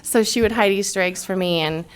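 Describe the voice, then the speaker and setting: A woman giving a eulogy for her aunt, reading a tribute and then sharing memories, with soft laughs between phrases. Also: mid-range voice, a little fast, clean tone.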